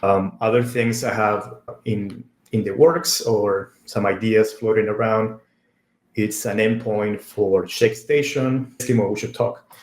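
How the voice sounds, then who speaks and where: The sound is noticeably echoey. A man from Argentina whose first language is Spanish speaks in a fairly low voice, presenting informally about tech. He is conversational and a little halting, repeating words as he goes. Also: fairly even intonation. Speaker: conference speaker.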